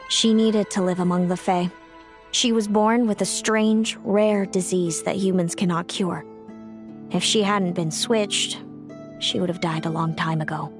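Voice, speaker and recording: A woman's voice: medium pitch, close to an everyday voice. An actress playing a cool, bitter young fae woman who resents her younger sister. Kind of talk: game voice acting. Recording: game audio with faint music.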